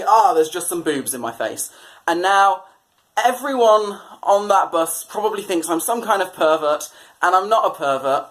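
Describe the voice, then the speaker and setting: A man's voice: high-pitched, fairly bright tone. A British man presenting satirical commentary on newspapers in a sardonic, mock-exasperated way. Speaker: comedy presenter.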